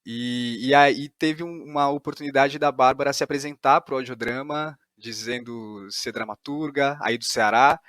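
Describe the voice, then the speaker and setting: A man's voice: medium pitch, steady tone, normal speed, hardly any pauses, fairly bright tone, no echo, clean studio sound. A man with a São Paulo Brazilian accent hosting a podcast interview, talking with hesitations and restarts. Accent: Brazilian Portuguese, São Paulo. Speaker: podcast host. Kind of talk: podcast interview, opens reading a synopsis aloud.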